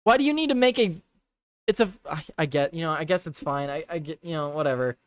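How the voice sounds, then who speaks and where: A man's voice: on the high side, lively intonation, fast talker, clear, resonant tone, no echo, studio quality. A man hosting a live political show, reading headlines aloud and chatting casually with his viewers, swearing and repeating himself as he reacts.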